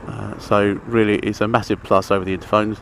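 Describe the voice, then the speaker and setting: A man's voice: on the low side, level tone, brisk, vocal fry, fairly bright tone. A man giving a casual, rambling, matter-of-fact gear chat as a motorcycle vlogger. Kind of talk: casual chatty gear review while riding. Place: outdoors inside a helmet on a motorbike. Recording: engine, traffic and outdoor noise, helmet mic.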